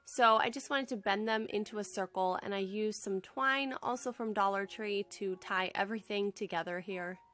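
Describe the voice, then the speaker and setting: A woman's voice: medium pitch, moderate pace, few pauses, fairly bright tone. A young woman with a head cold, chatting in a friendly, upbeat way as she narrates a casual home decor how-to vlog from home.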